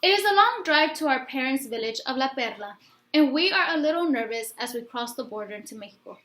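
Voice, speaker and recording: A woman reading a picture book aloud in an expressive, instructive way, like a teacher addressing young listeners. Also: high-pitched, fast. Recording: roomy, echoey room sound.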